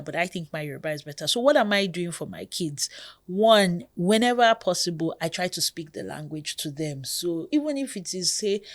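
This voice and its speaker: A woman in her thirties from Nigeria with a Nigerian accent, hosting a podcast in a chatty, expressive way. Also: on the low side. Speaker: podcast host. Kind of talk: casual podcast monologue.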